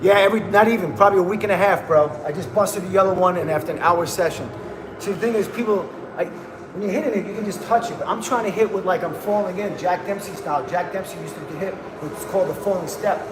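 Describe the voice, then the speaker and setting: High-voiced hyped male streamer, echoey room. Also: quick pace, background noise. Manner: hyped, slangy, calls viewers bro and man. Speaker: livestream host. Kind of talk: casual livestream chat. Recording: echoey room with music behind.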